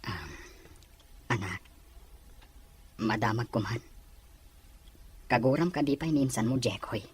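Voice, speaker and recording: A man's voice: medium pitch, lively intonation, measured pace, slightly rough. A man acting a caring, apologetic father in an audio drama, with an Ilocano accent. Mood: gentle, apologetic. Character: caring father, apologetic, proud of his daughter.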